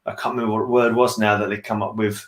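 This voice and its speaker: A man's voice: on the low side, little pitch movement, quick pace, roomy sound. A man chatting casually as host of a book read-along, rambling and repeating phrases as he searches for words. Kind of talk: casual book discussion chat.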